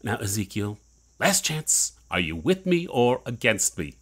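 An actor playing a ruthless, self-justifying villain in an audio horror drama, scornful and theatrical. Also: male voice, on the low side, sing-song, a little slow, husky, exaggerated voice. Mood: cold resolve.

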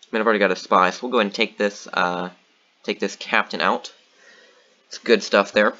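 Low-voiced man thinking aloud over a game. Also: fairly quick, highs cut off. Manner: thinking aloud, relaxed, many fillers. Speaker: game commentator. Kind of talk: casual gameplay commentary.

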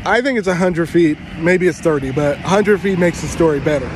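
A young man recounts a trip in a loose, animated way, hamming up the scary parts as he goes. His voice sits a touch high for a man, and a faint hum of outdoor traffic runs under the phone recording. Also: fairly quick. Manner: animated, exaggerating for effect, lots of fillers. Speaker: travel vlogger. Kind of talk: casual storytelling to camera. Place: outdoors among trees.

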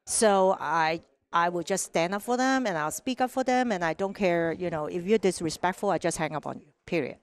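Middle-aged Hong Kong-accented woman guest, low-ish voice, lively storyteller. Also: a little fast, few pauses. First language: Cantonese. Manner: animated, acts out quoted voices, frequent "right?". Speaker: podcast guest. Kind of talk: casual interview storytelling. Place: sunny room with large windows. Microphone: headset mic.

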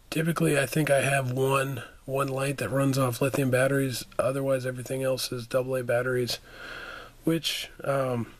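A man with a hoarse, under-the-weather voice, reviewing gear in an informal, halting, plain-spoken way as host of a video. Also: mid-range voice. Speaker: product reviewer and channel host. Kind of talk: casual hands-on product review. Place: indoors, carpeted room.

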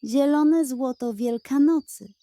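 Slow, mellow woman storyteller. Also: high-pitched, no room sound. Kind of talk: scripted narration of a children's bedtime story.

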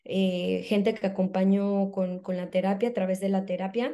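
A woman in her thirties speaks in a mellow, relaxed tone. She introduces herself conversationally as a therapist would, over a video call from a room indoors. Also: medium pitch, normal speed. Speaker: psychologist and therapist.

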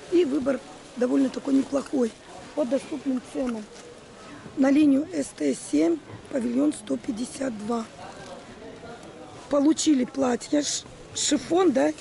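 A woman with a creaky voice doing a casual market walkthrough, questioning vendors and addressing viewers affectionately. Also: high voice, a little mellow, poor audio. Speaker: market vlogger. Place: busy open-air wholesale market. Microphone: handheld camera. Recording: busy crowded market noise.

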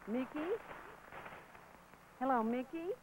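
A woman's voice: high voice, slow. A young woman, chatting casually with family on a 1950s recorded phone call. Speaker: soldier's relative on a call. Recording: faint noise, old 1950s archive recording.

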